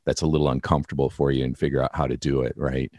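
A man hosting an interview, with a strong baritone voice that has an edge to it, reflecting on his own experience. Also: flat delivery, rapid-fire, darkish tone, no echo, studio quality. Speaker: podcast host, singer. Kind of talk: casual interview conversation.

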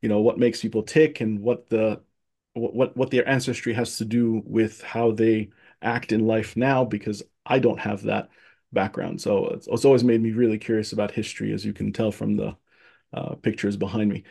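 A man's voice: on the low side, little pitch movement, normal speed, vocal fry, dark tone, slightly rough. A man from Egypt talking as a guest in a casual conversation, earnest and thoughtful, with frequent fillers and restarts. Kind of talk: casual conversation, personal history.

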